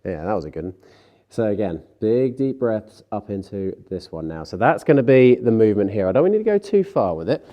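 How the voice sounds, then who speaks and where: A man with a stuffy, slightly nasal voice from a cold, calmly guiding a stretching class with light self-mocking asides. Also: on the low side, fairly quick, highs cut off. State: congested from a cold, a bit nasal. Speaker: fitness instructor.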